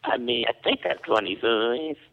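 A man putting on a raspy, muddled woman's voice as a prank caller, rambling and hesitant in a dull lo-fi phone recording. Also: mid-range voice, moderate pace, slight background noise, natural voice. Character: confused raspy female prank caller claiming to be a young woman.

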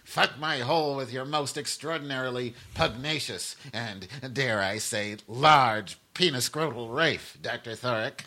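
A man playing a lewd, unhinged split personality in a dark comedy sketch, with florid, drawn-out theatrical delivery. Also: mid-range voice. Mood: lewd insistence.

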